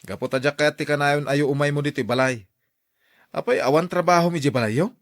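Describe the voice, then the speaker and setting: A man's voice: on the high side, a little fast, close to an everyday voice. An actor playing a stubborn, lovestruck young man, a devoted son, in an Ilocano radio drama. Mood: lovestruck, insistent. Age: young adult.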